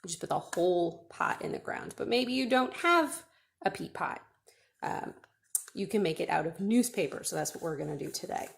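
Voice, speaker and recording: Very animated woman reading at children's storytime. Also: on the low side, normal speed, gravelly. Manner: expressive, addressing small children with prompting questions. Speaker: children's librarian reading picture books. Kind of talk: children's storytime read-aloud with questions. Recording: roomy, echoing indoor recording.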